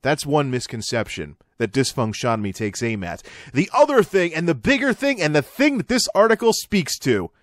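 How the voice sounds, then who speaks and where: A man's voice: on the high side, very animated, moderate pace, no room sound, studio quality. An American man hosting a radio-style commentary, reading news and ranting about it with wry, emphatic asides. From United States. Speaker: host of an online radio-style commentary show.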